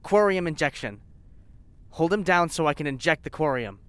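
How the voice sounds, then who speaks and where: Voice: mid-range voice, lively intonation, moderate pace, dry recording, close to an everyday voice. An actor playing a zealous, spiteful scientist in an audio drama, bitter, sarcastic and insistent. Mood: bitter, fervent. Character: zealous, spiteful lab scientist named Colin. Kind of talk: scripted audio drama acting.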